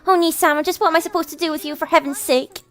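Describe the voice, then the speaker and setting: A woman's voice: extremely high pitch, steady tone, very fast, fairly bright tone, faint music behind, pitched above the performer's own voice. A woman voicing a composed, teasing younger sister who dotes on her brother, prim and sweetly scolding. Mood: exasperated.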